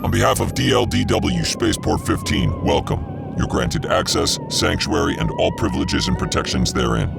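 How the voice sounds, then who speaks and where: A man's voice: lowish voice, wildly swooping pitch, moderate pace, gravelly, loud music behind the voice, heavily acted. A man playing a stiff, formal space station officer in an audio drama, reciting a ceremonious welcome.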